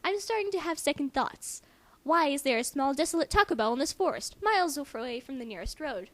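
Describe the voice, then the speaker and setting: Woman reading as a high-voiced, hesitant boy. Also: expressive, a little fast. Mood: doubtful. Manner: earnest, slightly sassy. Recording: noisy amateur home recording.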